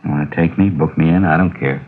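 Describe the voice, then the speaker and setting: An actor playing a bitter, cornered middle-aged husband in a radio crime drama, defensive and complaining. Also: male voice, a bass voice, fairly even intonation, fast talker. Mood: bitter, resigned. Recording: dull, noisy 1950s radio recording.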